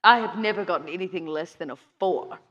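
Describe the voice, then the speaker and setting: A woman's voice: fairly low pitch, fast, a bit bright, dull audio, natural voice. A woman playing a playful, teasing female vampire in an improvised tabletop game. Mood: coaxing.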